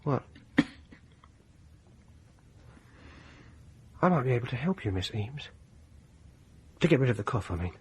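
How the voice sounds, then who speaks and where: An actor playing a fussy, awkward, solicitous man in a radio drama, with prim, halting politeness. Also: medium pitch, very animated, breathy edge. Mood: insinuating. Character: fussy, awkward, solicitous male music enthusiast with an intrusive streak.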